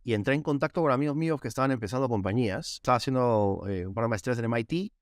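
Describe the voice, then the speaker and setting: A man's voice: medium pitch, very fast, no echo, clean studio sound. A man with a Peruvian accent talking casually as an interview guest, often adding little tag questions. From Peru. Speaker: podcast guest, AI executive.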